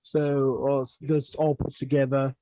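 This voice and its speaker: A young man from Cameroon, a music artist, talking as a guest in a casual interview, relaxed and rambling with many restarts. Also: mid-range voice, unhurried, no echo, rough recording.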